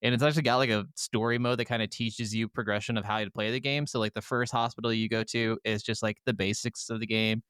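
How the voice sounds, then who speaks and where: A man's voice: lowish voice, flat delivery, fast talker, hardly any pauses, a bit bright, clear, resonant tone, no echo. A man co-hosting a podcast, chatting casually about video games. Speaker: podcast co-host.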